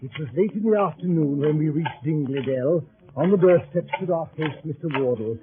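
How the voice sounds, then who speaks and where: An actor playing a genteel club member who narrates adventures in a measured, formal vintage radio drama style. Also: male voice, a little high, over music, close to an everyday voice. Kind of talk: scripted narration, 1930s radio acting. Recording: noisy, tinny old radio broadcast.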